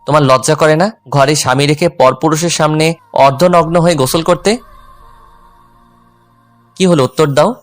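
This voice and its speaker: A man's voice: on the high side, normal speed, pauses often, faint music behind, natural voice. A man playing a jealous, teasing young husband in a dramatized romantic audio story. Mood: defiant. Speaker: narrator and male lead of an audio love story.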